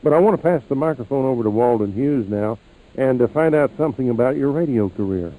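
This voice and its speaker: Male host, relaxed dark-edged tone, conversational, wordy questions, casual interview delivery. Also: medium pitch, quick pace, rough recording.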